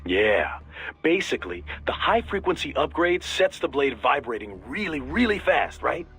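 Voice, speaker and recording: A man's voice: on the high side, sing-song, moderate pace, fairly bright tone, husky, exaggerated voice. An actor playing an easygoing, tech-savvy male mission advisor in a video game, explaining things casually and keenly. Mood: keen, explanatory. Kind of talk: video game radio briefing voice acting.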